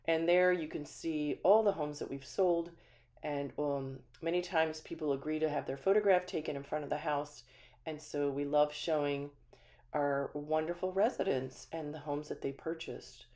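Low-voiced woman giving a chatty home tour. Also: fairly even intonation, normal speed, warm tone. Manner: friendly, chatty, frequent ums. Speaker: manufactured home community manager. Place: empty small house, indoors, then voice-over.

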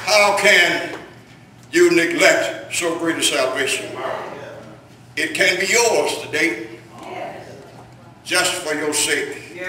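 An older preacher with a high-pitched voice delivers an emphatic sermon from a pulpit. He is recorded from far off in a very echoey church, with congregation noise around him. His phrasing is declamatory and leans on repetition. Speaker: preacher, guest reverend. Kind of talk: rhetorical church sermon with repeated refrains. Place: large church sanctuary, at a pulpit. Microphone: far camera in the congregation.

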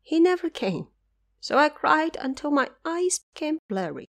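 A woman's voice: sits high, very animated, normal speed, pitched above the performer's own voice. A tender old grandmother played by a woman narrator speaks with sorrow and feeling. She is a figure from an audio drama of an old tale. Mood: grieving. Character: old grieving grandmother, long blind, tender.

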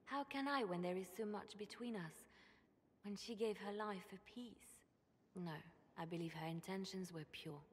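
A woman acting an earnest, conscience-torn spymaster with a measured, solemn delivery in a video game. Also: mid-range voice, lightly acted. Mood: earnest, resolved. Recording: music behind the voice.

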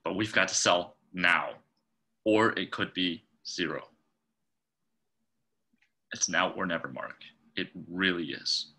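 A young man reads an anxious male trader at a home table read. His voice sits low but bright and pushing. He presses and insists, heard through a webcam in a small room. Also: slow, pauses often, full character voice. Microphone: webcam on a video call.

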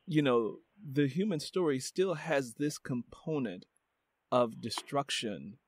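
A man's voice: medium pitch, expressive, takes it slowly, slightly rough. A man hosting a podcast interview, musing reflectively and leaning on "you know" as he talks. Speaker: podcast host. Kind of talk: casual interview talk.

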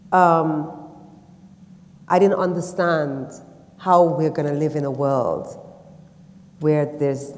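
A woman from Guyana talks on a festival panel before an audience, thoughtful and halting, with frequent ums and restarts. Her voice sits low. The theatre's long reverb trails behind each phrase. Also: a little mellow. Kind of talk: personal reflection and reading aloud on a stage panel. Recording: long reverb of a large hall.

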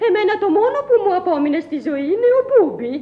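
Very high-pitched, touchy old madwoman. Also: very animated. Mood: tearful, aggrieved. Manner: plaintive, tearful, easily offended. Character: fussy, touchy, eccentric old Parisian madwoman. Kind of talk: theatrical radio drama acting. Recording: dull old radio recording with noise.

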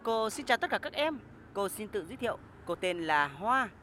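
A woman's voice: mid-range voice, big pitch swings, normal speed, very noisy, natural voice. A woman voicing a polite new homeroom teacher in a cartoon, formal and encouraging. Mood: formal, welcoming.

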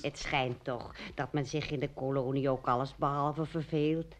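A flirty gossip lady in a radio play, voice on the low side. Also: fairly even intonation, moderate pace, slight background noise. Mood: gossipy, amused. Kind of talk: radio drama acting.

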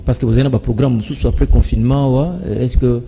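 Deep-toned Congolese man hosting. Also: fairly even intonation, brisk, vocal fry, mellow, slight background noise. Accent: Congolese French with Lingala. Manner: brief prompts and follow-up questions. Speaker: morning show host. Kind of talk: studio interview. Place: TV studio.

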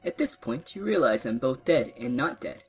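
A man voicing an excitable dying old philosopher who argues eagerly in a high, lively voice. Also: a little fast, husky, faint music behind, exaggerated voice. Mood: excited.

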